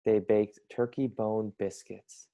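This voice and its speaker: Casting: young male storybook narrator, dark mellow tone with noticeable vocal fry, measured pace. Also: lowish voice, flat delivery. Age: young adult. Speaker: storybook reader, college student. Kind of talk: scripted children's story narration.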